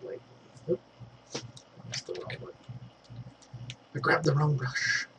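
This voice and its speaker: A man's voice: on the high side, little pitch movement, takes it slowly, background noise. A man with a congested throat giving a casual digital painting tutorial, thinking aloud as he works and dropping in fillers. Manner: chatty, thinks aloud while working, trailing fillers.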